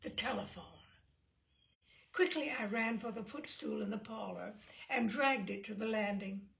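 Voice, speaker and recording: A woman reading a story aloud as scripted narration, in an expressive, measured storytelling manner. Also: medium pitch, creaky. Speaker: story reader.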